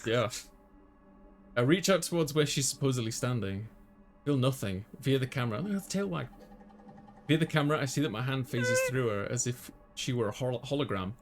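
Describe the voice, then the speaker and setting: A man's voice: medium pitch, expressive, brisk, soft background music. A man hosting a gaming video, reading visual novel text aloud and adding casual asides.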